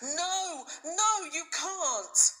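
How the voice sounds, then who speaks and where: A woman's voice: very high-pitched, lively intonation, drawn-out words, sharp tone, pitched above the performer's own voice, exaggerated voice. A woman voicing an upset, pleading young boy in a storybook reading, with emphatic protest. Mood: angry protest. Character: upset, pleading seven-year-old boy, protesting fiercely.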